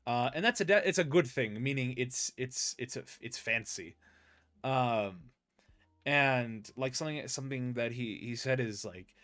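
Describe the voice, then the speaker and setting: A man's voice: medium pitch, measured pace. Rambling young American man hosting. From United States. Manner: rambling, many fillers and restarts, animated when telling stories. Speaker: podcast host. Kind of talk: casual podcast interview.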